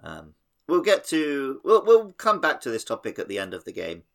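A man's voice: medium pitch, wildly swooping pitch, moderate pace, vocal fry. A man co-hosting a podcast, discussing a game in a casual, conversational style. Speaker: podcast host.

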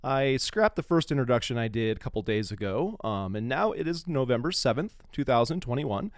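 A man's voice: medium pitch, big pitch swings, fairly quick, no echo, clean studio sound. An American man hosting a podcast, giving a casual, emphatic and opinionated introduction. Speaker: podcast host.

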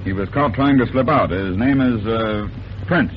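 An actor playing a discreet, uneasy theater manager in an old radio crime drama, speaking formally and hesitantly. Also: male voice, on the low side, normal speed, close to an everyday voice. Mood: grave, explanatory. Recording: dull, noisy vintage radio recording.

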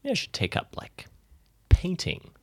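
A man playing a guilt-ridden, introspective friend in an improvised audio drama, opening up in halting, repeated phrases. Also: darkish tone, dry recording, natural voice. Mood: sheepish.

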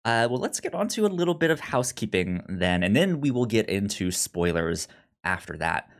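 A man's voice: on the low side, sing-song, normal speed. A man hosting a podcast in a casual, conversational way, often stammering and repeating syllables as he goes. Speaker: podcast host.

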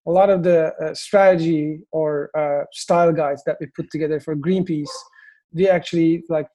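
Turkish guy, kinda high mellow voice, lots of uhs and restarts. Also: fairly even intonation. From Turkey. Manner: halting, many fillers and restarts. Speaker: agency founder, guest. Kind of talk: interview answers.

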